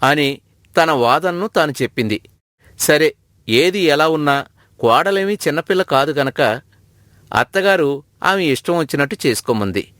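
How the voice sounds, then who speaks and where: Male narrator for scripted storytelling, somewhat high voice, very dry close sound. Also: expressive, normal speed, pauses often, a bit bright, no room sound.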